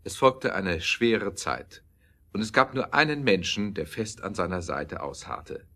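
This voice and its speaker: Male narrator, very deep register, scripted storytelling for audio drama. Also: big pitch swings, unhurried, pushed and bright.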